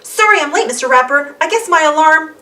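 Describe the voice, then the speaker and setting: Woman voicing an anxious, high-pitched cartoonish schoolboy. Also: expressive, brisk, bright, forceful tone, recorded from a distance. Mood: apologetic. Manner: exclaiming, plaintive. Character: anxious, easily embarrassed young schoolboy aardvark.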